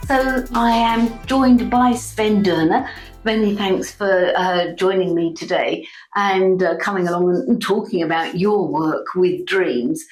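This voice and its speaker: A woman's voice: mid-range voice, a little slow, reverberant, faint music behind, poor audio. A woman co-hosting a podcast, interviewing a guest with many fillers and brief replies. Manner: many fillers, laughs along, brief backchannels.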